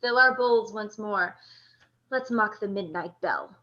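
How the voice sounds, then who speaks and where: A woman's voice: mid-range voice, measured pace, a bit bright, natural voice. A woman playing a proud, passionate, lovesick male general in Shakespearean radio drama, with impassioned, declamatory delivery. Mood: rallying, proud. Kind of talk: Shakespearean stage acting in a radio play. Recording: dull lo-fi audio.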